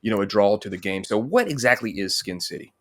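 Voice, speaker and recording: A man's voice: on the low side, expressive, quick pace, fairly bright tone. A man chatting casually as the interviewer on a video call. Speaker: interviewer, show host. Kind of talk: casual chat.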